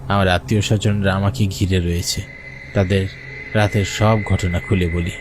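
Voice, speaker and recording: A man's voice: deep voice, flat delivery, normal speed. A man narrating a first-person ghost story for a cartoon in a dramatic way. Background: music under the voice.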